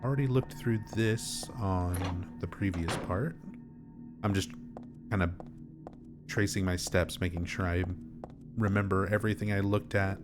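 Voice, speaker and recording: A man's voice: low-pitched, unhurried, pauses often, music in the background. A man streaming a game, explaining things casually while nursing a sore throat. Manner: explanatory, chatty, gets animated when amused.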